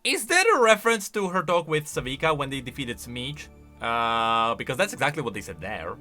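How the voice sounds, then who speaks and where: A man's voice: mid-range voice, big pitch swings, moderate pace, bright, forceful tone, soft background music. A man giving casual, enthusiastic reaction commentary, explaining game lore as he goes.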